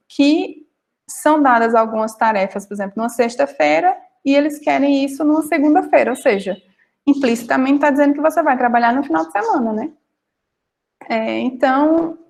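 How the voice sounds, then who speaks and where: High creaky-voiced Northeastern Brazilian woman. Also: a little mellow. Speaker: guest panelist, researcher. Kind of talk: casual personal account in online panel.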